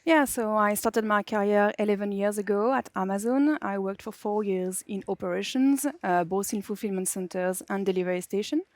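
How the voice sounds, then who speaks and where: A woman's voice: medium pitch, normal speed, few pauses, darkish tone, no room sound. A woman, speaking plainly on a conference panel. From France. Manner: plain, matter-of-fact, some fillers. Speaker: panelist, delivery manager. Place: conference stage.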